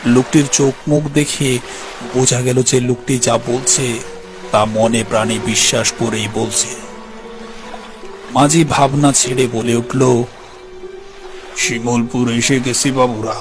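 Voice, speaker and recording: A man's voice: medium pitch, natural voice. A man playing a polite, inquisitive city man who narrates a horror tale in a dramatic, earnest way. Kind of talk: scripted horror narration and dialogue. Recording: loud music under the voice.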